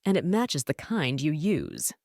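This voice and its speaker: A woman's voice: sits low, huge pitch swings, unhurried, vocal fry, darkish tone, no echo, studio quality, full character voice. A woman voicing an earnest, brave male detective car in a children's storybook. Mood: serious.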